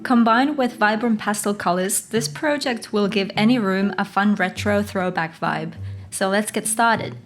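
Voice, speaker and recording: A woman's voice: medium pitch, measured pace. A woman narrating a scripted craft tutorial as its host, giving step-by-step instructions. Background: music.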